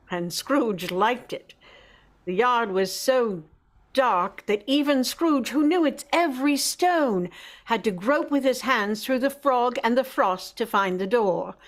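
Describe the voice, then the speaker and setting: A woman's voice: mid-range voice, close to an everyday voice. A woman narrator telling a story in scripted style for an old-time radio drama.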